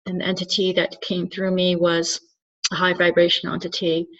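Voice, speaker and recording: Halting, earnest woman from Turkey. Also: on the low side, completely flat delivery, fairly bright tone. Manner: halting, searching for words, frequent restarts. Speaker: spiritual channeler and soul coach. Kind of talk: reflective solo monologue to camera. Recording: slightly dull lo-fi recording.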